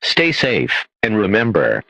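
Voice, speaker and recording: A man delivering a formal, scripted emergency broadcast as an announcer, in a stern, measured official manner. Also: on the low side, wildly swooping pitch, vocal fry, sharp tone, dry recording.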